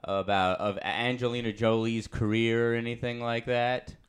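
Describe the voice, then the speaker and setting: A man playing a vain famous male movie star in a deadpan comedy bit, rambling and giggling. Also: mid-range voice, normal speed, voice pushed down, close to an everyday voice. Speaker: guest.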